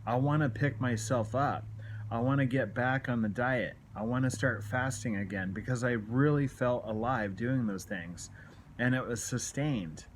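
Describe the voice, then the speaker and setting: Man, late forties, mid-pitched voice, confessional vlog talk, earnest, exhorting, repeats himself, phone recording in a room at home. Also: normal speed, a little hiss or hum. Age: late 40s. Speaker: self-help video blogger. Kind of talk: candid confessional motivational talk to camera. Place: small room at home, indoors. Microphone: phone at arm's length.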